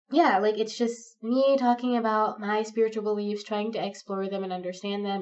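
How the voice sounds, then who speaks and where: A woman's voice: medium pitch, a little mellow. Congested woman rambling, distant and muffled. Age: 30s. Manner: rambling, hesitant, many ums and likes. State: congested with a cold, sniffly. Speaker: pagan vlogger. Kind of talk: unscripted rambling monologue. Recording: distant, dull lo-fi sound.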